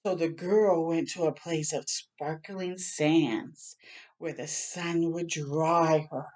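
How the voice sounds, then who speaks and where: A woman reading a children's picture book aloud expressively, pausing to chat and ask young listeners questions. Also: low-pitched. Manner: animated, asks the listeners questions.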